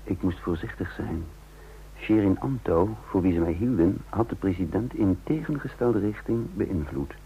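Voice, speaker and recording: An actor playing a shrewd, calculating undercover intelligence officer in a radio drama, speaking in a measured, formal, husky voice. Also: male voice, deep voice, expressive, slight background noise. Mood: reflective, calculating. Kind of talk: radio drama acting and narration. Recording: dull lo-fi old radio recording.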